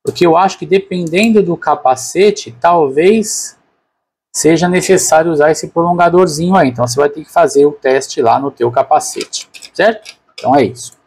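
A man's voice: on the high side, moderate pace, gravelly, a little mellow, breathy edge. A man with a Brazilian Portuguese accent narrating a product unboxing casually and unscripted, informally thinking aloud as a reviewer.

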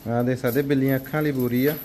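A man with a Punjabi accent, walking through a dairy shed, asking a farmer about prices and pitching the buffaloes to viewers in a casual sales chat. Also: fairly even intonation, dark tone, slight background noise. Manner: brisk, asks prices then pitches to viewers. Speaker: livestock dealer showing buffaloes for sale. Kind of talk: casual on-site sales walkthrough.

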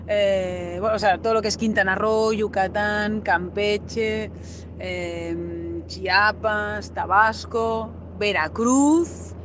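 A woman from Spain with a Spanish accent, a guest chatting upbeat and easygoing in a podcast interview. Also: mid-range voice. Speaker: guest traveler. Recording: noticeable background noise.

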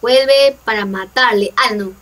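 A woman's voice: fairly high pitch, unhurried, fairly bright tone. Brief: young Peruvian woman gamer, playful and laughing, noisy far-off mic. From Peru. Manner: playful, teasing, laughs a lot. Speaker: gaming channel host. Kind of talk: casual gameplay chat.

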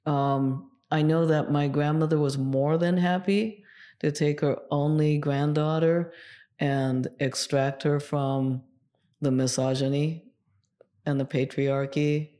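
A woman's voice: low voice, fairly even intonation, takes it slowly, mellow. Japanese-born woman recounting her youth. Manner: reflective storyteller, lots of yeah fillers. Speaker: guest, sex educator. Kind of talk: casual interview conversation.

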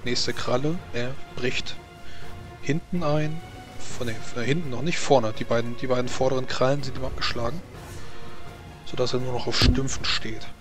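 A man's voice: medium pitch, fairly even intonation, moderate pace. A man narrating a tabletop game as game master, often hesitating and repeating words as he rules. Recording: music playing behind the voice. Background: background music.

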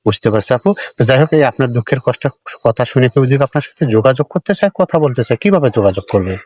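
A man's voice: medium pitch, animated, quick pace, darkish tone, no room sound. A man hosting an interview, politely asking questions and checking answers back. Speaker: interviewer and channel host. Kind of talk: on-camera interview for an online channel.

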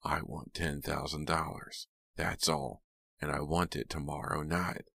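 A man voicing a menacing male attacker in a raspy, breathy whisper for a thriller audiobook. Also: very deep voice, animated, slow, bright, forceful tone, no echo, full character voice. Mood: threatening, demanding.

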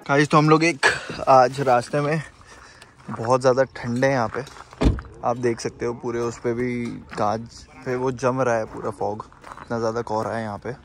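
A man's voice: medium pitch, fairly even intonation, normal speed, a bit bright, faint music behind. A young man from India, chatting upbeat in a road trip vlog and leaning on fillers like "matlab" and "yaar". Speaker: vlogger. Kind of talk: casual travel vlog chat. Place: inside a car on the highway and at an outdoor roadside eatery. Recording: road noise and outdoor hum behind.

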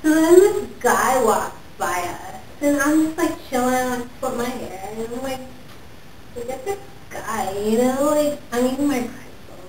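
A woman's voice: high voice, lively intonation, a little slow, a little mellow. A young woman playing a ditzy, gossipy teen girl who is obsessed with the mall and easily offended, filling every phrase with "like," recorded from a distance in an echoey room. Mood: chatty gossip. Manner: valley-girl filler talk, says "like" constantly. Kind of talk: comic character monologue. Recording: roomy echo, mic far off, faint hiss.

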